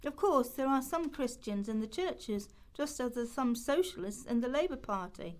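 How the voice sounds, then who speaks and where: Higher-pitched woman giving scripted dramatic readings. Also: animated, moderate pace. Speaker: reader of historical quotations in a radio play.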